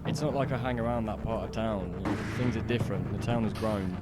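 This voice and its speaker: A man's voice: on the low side, steady tone, quick pace, natural voice. A man playing an easygoing, joking teenage boy, casual and rambling, in a dramatic reading of a horror novel. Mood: mild, evasive. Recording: loud music under the voice.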